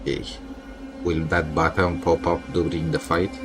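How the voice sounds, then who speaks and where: A man's voice: extremely low pitch, flat delivery, normal speed. A man giving casual, off-the-cuff reaction commentary as he streams a game. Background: game music.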